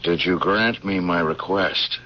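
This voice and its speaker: Accused, imprisoned army major. Deep, restrained, formal radio drama delivery, heavy noise on old recording. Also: male voice, a little slow, highs cut off. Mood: strained, demanding.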